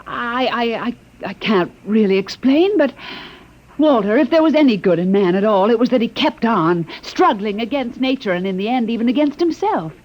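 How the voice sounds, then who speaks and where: An earnest, determined captive. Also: female voice, fairly high pitch, animated, moderate pace. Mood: urgent. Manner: earnest and urgent. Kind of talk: 1950s radio drama acting. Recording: muffled vintage radio broadcast.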